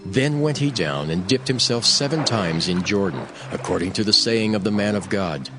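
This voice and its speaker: Male narrator, low register, lively intonation, dramatized scripture, faint music underneath. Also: normal speed.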